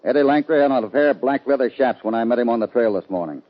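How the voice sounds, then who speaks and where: An actor playing a steady, commanding cowboy hero in a 1950s radio western, speaking in a firm, terse, authoritative way. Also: male voice, mid-range voice, fast talker, close to an everyday voice. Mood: stern, pressing. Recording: dull, lo-fi 1950 radio recording.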